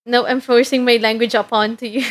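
Young woman VTuber from the Philippines, Tagalog first language; casual, giggly stream chatter, slightly high voice. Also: little pitch movement, a little fast. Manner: chatty, giggly, lots of fillers. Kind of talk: casual live-stream chat while working.

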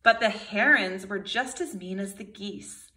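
A woman's voice: medium pitch, a little slow, sharp tone. A woman in her thirties, a kindergarten teacher reading a storybook aloud expressively to young children.

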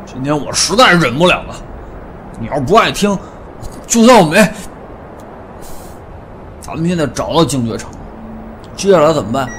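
Man playing a brash, high-voiced fat tomb raider. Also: very animated, moderate pace, long pauses, a little hiss or hum. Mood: bitter, sarcastic. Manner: blunt, mocking. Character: brash, sarcastic, money-minded fat male tomb raider. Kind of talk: audio drama acting. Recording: loud music under the dialogue.

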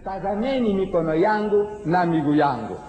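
A man in his sixties from South Africa, giving a public lecture at a stage microphone, speaking slowly and deliberately as he recites verses. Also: high-pitched, lively intonation, warm tone, faint music behind. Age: 60s. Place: large hall on a stage. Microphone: stand microphone at a podium. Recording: echoey, dull old hall recording with a crowd.

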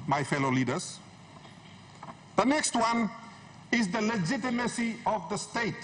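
A middle-aged man giving an impassioned, emphatic speech as a member of parliament, using rhetorical repetition. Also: high-pitched. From Papua New Guinea. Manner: emphatic, rhetorical, repeats phrases for effect. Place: large wood-panelled parliament chamber. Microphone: desk gooseneck microphone, standing. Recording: noisy parliament chamber microphone.

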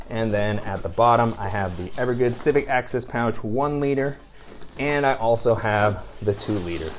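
A man's voice: lowish voice, a little hiss or hum. An American man in his thirties narrating a product review in a casual, conversational and explanatory way.

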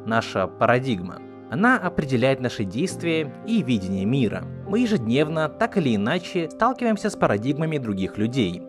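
A man narrating a scripted explanatory video essay in a measured, didactic manner. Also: medium pitch. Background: background music.

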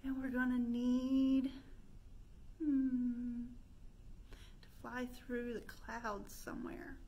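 A woman's voice: on the high side, little pitch movement, very slow, mellow, muffled recording. A woman in her thirties leading an interactive pretend-play storytime, asking young children questions in a sing-song manner. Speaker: children's librarian hosting storytime. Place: indoor room with stone fireplace.

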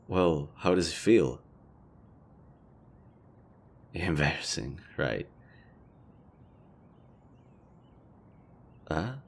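Man acting as low-voiced, awkwardly flirty elven leader. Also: full character voice. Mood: teasing. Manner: conversational, hesitant, rambling, casually profane. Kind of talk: intimate roleplay audio drama.

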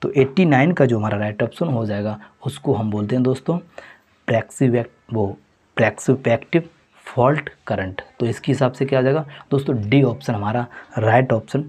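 A man with a husky, slightly breathy voice works through a mock test as a teacher. His pitch sits in an ordinary middle range. He repeats the answers and keeps calling his viewers friends. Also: darkish tone. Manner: repetitive, addresses viewers as friends. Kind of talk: exam question walkthrough.

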